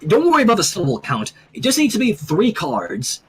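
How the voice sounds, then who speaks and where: A man voicing a bossy, teasing cartoon teenage girl who briskly directs everyone. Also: sits high, huge pitch swings, slight background noise. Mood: bossy, amused.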